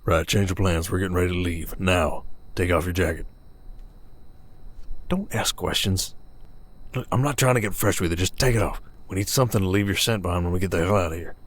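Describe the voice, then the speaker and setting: A man's voice: low-pitched, a little fast. A man playing a weary, protective werewolf sheriff of the Old West in an ASMR roleplay, with a folksy American Southern accent and a hesitant storytelling manner. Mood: urgent, hushed.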